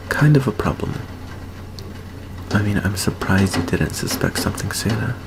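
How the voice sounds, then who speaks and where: A man playing a nervous, earnest teenage boy vampire in an intimate ASMR roleplay, speaking haltingly with small stammers. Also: low voice, background noise.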